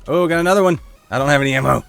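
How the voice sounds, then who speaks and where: A man giving casual gameplay commentary while playing a shooter, with a congested throat he keeps clearing, chatting to viewers and reacting aloud. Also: fairly high pitch, very fast, fairly bright tone, faint music behind. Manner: chatty, reacts aloud to the game, answers chat. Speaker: gaming YouTuber.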